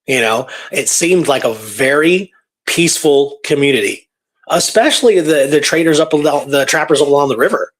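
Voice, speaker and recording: Slightly husky man musing in casual discussion. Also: a little high, animated, normal speed. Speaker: co-host. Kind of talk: casual discussion of a historical story.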